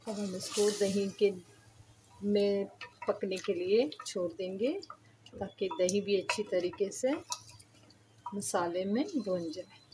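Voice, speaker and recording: Female cooking host, middle pitch, calm step-by-step instructions, noisy kitchen recording. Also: slow pace, pauses often. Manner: plain instructional, addresses viewer directly. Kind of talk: step-by-step recipe voice-over.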